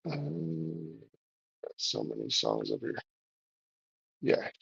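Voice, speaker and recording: A man's voice: on the high side, wildly swooping pitch, slow pace, gravelly, husky, lo-fi sound. A man hosting a music livestream in a casual, rambling way, with many fillers and restarts. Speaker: livestream show host.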